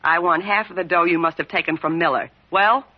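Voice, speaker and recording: A woman's voice: lowish voice, steady tone, a little fast, lightly acted. Hard-boiled scheming dame, played by a woman. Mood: coolly threatening. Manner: brassy, slangy, needling. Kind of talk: 1940s radio crime drama acting. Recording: dull vintage radio recording.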